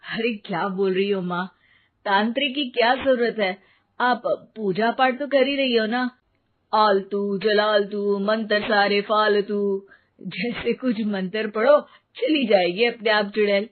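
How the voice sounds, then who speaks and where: A woman's voice: medium pitch, measured pace, close to an everyday voice. Woman as skeptical son, tinny sound. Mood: teasing. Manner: dismissive, joking. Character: skeptical teasing adult son. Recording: tinny phone-like sound with faint noise.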